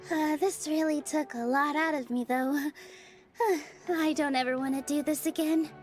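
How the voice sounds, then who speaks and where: An actress voicing a gentle young theater dancer in a game, speaking softly and sincerely. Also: female voice, sits high, steady tone, a little slow, faint music behind. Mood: weary. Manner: soft, sincere and kindly. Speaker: game character. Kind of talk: dubbed game dialogue.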